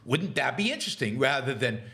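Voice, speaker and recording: A middle-aged man hosting a political commentary show, speaking in a halting way with many fillers and restarts, and turning scornful and sarcastic. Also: mid-range voice, very animated, bright, forceful tone. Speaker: podcast host.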